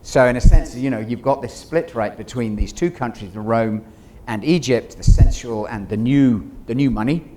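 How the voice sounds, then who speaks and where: A man's voice: medium pitch, normal speed, few pauses, mellow. Discursive male panel guest, noisy stage recording. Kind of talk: conversational discussion of a play. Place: on a theater stage. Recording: clear background noise, stage ambience.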